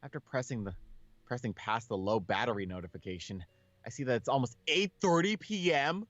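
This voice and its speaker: A man's voice: mid-range voice, lively intonation, a little fast, fairly bright tone, no room sound, muffled recording. A man reading visual novel text aloud as a co-host of a casual gaming session.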